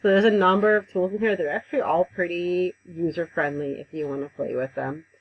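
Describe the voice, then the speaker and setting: A woman giving a casual, conversational software tutorial over a headset mic, with frequent ums. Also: fairly low pitch, steady tone, fairly quick, lo-fi sound, a little hiss or hum. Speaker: instructor. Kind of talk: screen-recorded software tutorial.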